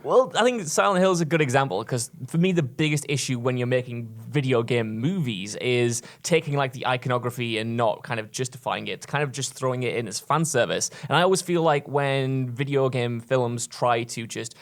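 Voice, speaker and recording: A man of average pitch speaks as a panelist on a casual podcast. He explains his view analytically, building the argument out loud as he goes. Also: a little fast, few pauses.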